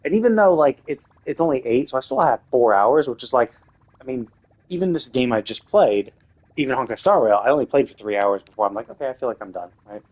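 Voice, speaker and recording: A man's voice: mid-range voice, lively intonation, fast talker, darkish tone. Easygoing man thinking aloud. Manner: thinking aloud, rambling, many restarts. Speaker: game streamer. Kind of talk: casual live-stream commentary while playing.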